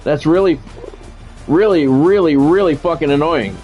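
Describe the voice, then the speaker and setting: A man's voice: a little high, normal speed, warm tone, lo-fi sound, faint music behind. A man doing live play-by-play of a football video game, mixed with blunt, salty, conversational asides. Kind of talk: live sports play-by-play commentary mixed with casual chat.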